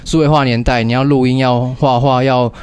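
A man's voice: medium pitch, fairly even intonation, brisk, darkish tone, clear, resonant tone. A man hosting a radio show in a casual, joking chat with a co-host, with a playful mock-grand manner.

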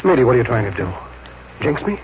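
An actor playing a stubborn, uneasy painter husband, distracted and hesitant, in a 1940s radio drama. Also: male voice, mid-range voice, big pitch swings, fast, natural voice. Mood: defensive. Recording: muffled, noisy 1940s radio recording.

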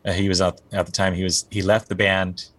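Low husky man rambling in a dry booth. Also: level tone, a little fast, a bit bright, no echo. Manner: rambling, with many fillers and restarts. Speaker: guest musician, band singer. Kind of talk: casual interview chat.

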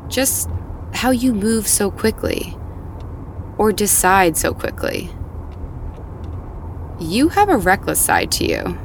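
A frank concerned woman, audio drama, gravelly fry, takes her time, intimate. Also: mid-range voice, expressive, takes it slowly, pauses often, a little hiss or hum. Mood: concerned, probing. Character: candid, concerned woman confronting a man close to her. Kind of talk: naturalistic audio drama dialogue.

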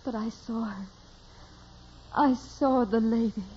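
An actress playing an earnest, devout teenage peasant girl in a reverent, gently insistent manner, in 1940s radio drama style. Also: on the high side, takes it slowly. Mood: pleading. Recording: muffled old radio recording, music behind.

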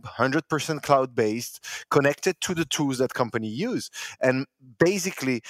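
A man speaking as an explains things in an interview as a company founder would, talking conversationally. His mid-pitched voice moves up and down with lively intonation, and the sound is dry with little room around it. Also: no room sound. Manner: explanatory, voices imagined quotes, non-native phrasing.

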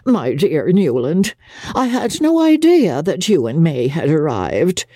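A woman's voice: low-pitched, sing-song, takes it slowly, mellow, husky, no echo, studio quality, exaggerated voice. A woman voicing an effusive, languishing society marchioness in a novel reading. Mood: gushing. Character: gushing, affected society marchioness, festooned and theatrical.

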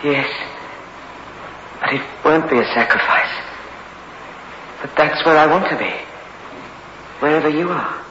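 A man's voice: on the high side, a little slow, pauses often, pushed and bright. An actor playing a distraught, pleading man obsessed with a ghostly woman in a 1960s radio horror drama. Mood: entranced. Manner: fervent, pleading. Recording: dull vintage radio recording, faint hiss.

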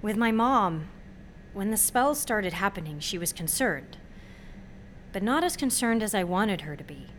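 A woman's voice: medium pitch, animated, unhurried, close to an everyday voice. Brief: actress as intense young woman, twenties, wry and guarded, naturalistic audio drama, faint ambient noise. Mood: earnest, guarded.

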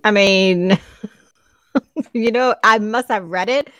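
Woman, native Spanish speaker, mid pitch, frank and opinionated, dry close recording. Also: slow, fairly bright tone, dry recording. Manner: frank and opinionated, teasing her co-host. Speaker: podcast co-host. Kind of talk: casual chat.

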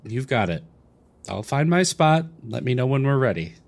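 An actor playing a mild, earnest young priest in a comedic audio drama, polite and hesitant, trying to sound cool. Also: male voice, mid-range voice, big pitch swings, moderate pace. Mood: resigned. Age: young adult.

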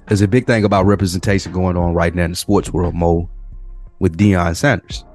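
An American man with a fairly low-pitched voice co-hosts a podcast. He talks informally and lays out his points in an explanatory, conversational style. There is music beneath the speech. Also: fairly even intonation, measured pace, darkish tone. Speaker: podcast co-host. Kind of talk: casual sports talk.

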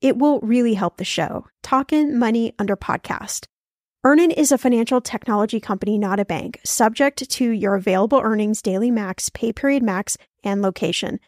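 High-voiced woman podcast co-host. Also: moderate pace, darkish tone. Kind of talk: casual chat with scripted ad reads.

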